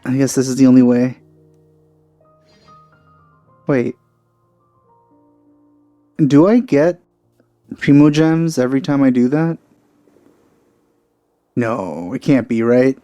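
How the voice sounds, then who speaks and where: A man's voice: medium pitch, takes it slowly, long pauses, mellow, dry recording, soft background music. A man giving casual let's play commentary as the host of a gaming video.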